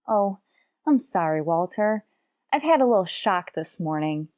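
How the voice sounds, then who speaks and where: An actress playing a wry, quick-witted high school teacher in an old-time radio comedy, arch and polite in manner. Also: female voice, animated, darkish tone, no room sound, clean studio sound. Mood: worried. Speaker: lead actress.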